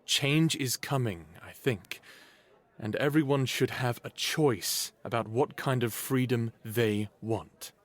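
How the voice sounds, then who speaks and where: Slow-spoken, earnest male idealist, played by an actor. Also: mid-range voice, close to an everyday voice. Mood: resolute. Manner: earnest, halting, persuasive. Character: earnest, idealistic young man, former captive urging peaceful change. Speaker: lead character and narrator. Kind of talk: audio drama acting with first-person narration.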